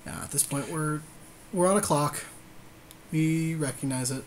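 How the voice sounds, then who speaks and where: A man's voice: on the high side, a little slow, slight background noise. A man co-hosting a gaming podcast with casual, slangy commentary and short conversational replies. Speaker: podcast co-host.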